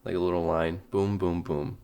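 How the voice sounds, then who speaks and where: A man with a very low voice comments informally on what he is watching. He thinks out loud in a casual, conversational way, with faint noise behind him. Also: little pitch movement, normal speed. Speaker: reaction video host. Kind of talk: casual reaction commentary on a horror video.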